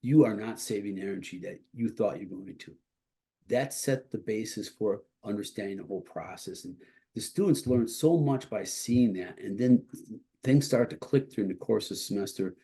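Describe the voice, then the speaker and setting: A man giving an informal instructional presentation as a college instructor, explaining haltingly with a congested, slightly husky voice that is starting to give out. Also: fairly low pitch, warm tone. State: congested, starting to lose his voice.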